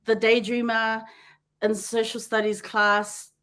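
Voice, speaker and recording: A woman with a New Zealand accent hosting a podcast, chatty and quick to laugh, often wandering off on tangents. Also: fairly high pitch, little pitch movement, fairly bright tone. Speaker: podcast host. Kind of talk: casual chat.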